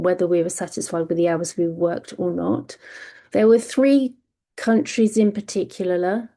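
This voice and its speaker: A woman's voice: fairly low pitch, vocal fry, dark tone. A British woman presenting survey results on a webinar, hesitant with frequent ums and self-corrections. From Britain. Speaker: webinar panelist.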